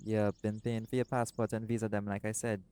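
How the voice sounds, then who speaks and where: A man voicing a doting, laid-back seagull shifter boyfriend in an intimate audio roleplay, speaking softly and affectionately. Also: lowish voice, little pitch movement, a little fast, clear, resonant tone, close to an everyday voice. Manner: soft-spoken, affectionate, creole-inflected speech.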